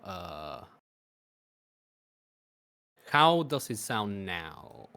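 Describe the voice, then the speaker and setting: A man with a fairly low voice who speaks as a talks loudly and chattily, dropping laughing asides as he goes. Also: big pitch swings, very slow, clear, resonant tone. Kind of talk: casual gaming chat.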